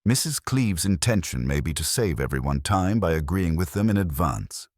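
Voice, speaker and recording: A tactful police inspector acted by a man in a radio mystery, with an extremely low, husky voice. He is courteous and deliberate, thoughtful and quietly wry. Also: expressive, no echo, clean studio sound. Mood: wry, reflective.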